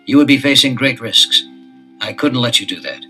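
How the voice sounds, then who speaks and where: An actor playing a cautious, authoritative detective father who speaks in a measured way. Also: mid-range voice, expressive, sharp tone, faint music behind. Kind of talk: 1970s children's audio drama.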